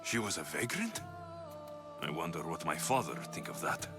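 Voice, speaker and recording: An actor playing a confident, wry mercenary warrior hero, blunt and dry, in a video game cutscene. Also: male voice, very deep voice, sing-song, moderate pace, over music. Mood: wry, relaxed.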